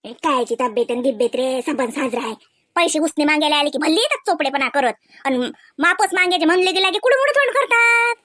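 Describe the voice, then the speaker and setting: A woman's voice: high voice, expressive, fairly quick, fairly bright tone, no room sound, studio quality. A woman voicing a bossy, stingy village mother in a comedy cartoon, scolding bluntly in a Vidarbha Marathi accent. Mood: dismissive, grumbling. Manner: scolding, blunt, badgering with questions. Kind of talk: comedy cartoon dialogue in rural dialect.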